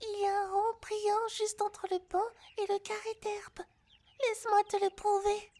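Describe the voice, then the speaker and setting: A woman's voice: very high-pitched, little pitch movement, normal speed, mellow. A woman voicing a naive, chirpy, easily indignant female harpy who hoards shiny trinkets in an ASMR roleplay. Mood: defensive, indignant. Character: scatterbrained female harpy hoarding shiny coins, childlike and defensive. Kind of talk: French ASMR roleplay acting.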